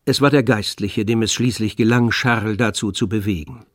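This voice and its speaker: A man's voice: fairly low pitch, steady tone, normal speed, no room sound, studio quality. Grave male radio drama narrator.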